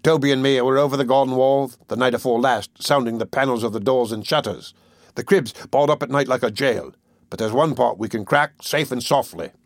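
A man voicing a surly, short-tempered burglar in an audiobook, blunt and scornful, with rough slang. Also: mid-range voice, brisk, no echo, studio quality, lightly acted. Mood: blunt, businesslike. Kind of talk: audiobook character voice.

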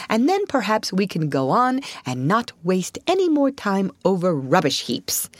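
A woman's voice: medium pitch, dry recording, voice pushed up. A woman voicing a grumbling, plaintive male mole with a stubbornly literal, pettish manner in a storybook. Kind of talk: storybook character voice.

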